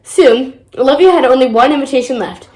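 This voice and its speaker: A female reader with a high voice narrates a children's story. She reads the picture book aloud as the host of a read-along. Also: brisk, a little mellow, echoey room.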